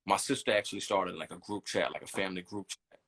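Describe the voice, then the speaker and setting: A man in his thirties, a brand designer, chatting in a relaxed interview and rambling easily with lots of "you know what I mean". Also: low voice, fast, a little hiss or hum. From United States. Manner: easygoing, rambling, leans on "you know what I'm saying".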